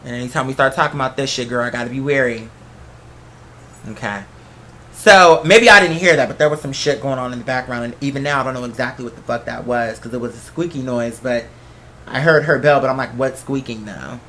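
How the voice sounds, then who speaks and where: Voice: mid-range voice, fairly quick, a bit bright, a little hiss or hum. A solo host in a casual, rambling monologue, talking in an emphatic, conversational way with some swearing. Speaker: host of a solo talk show. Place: apartment.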